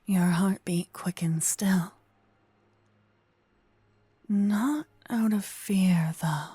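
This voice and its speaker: A woman voicing a gentle, possessive old female vampire in an ASMR roleplay, murmuring and whispering close to the microphone. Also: mid-range voice, no room sound, full character voice. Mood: teasing, intense. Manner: breathy, slow whispering and murmuring. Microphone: close binaural microphone.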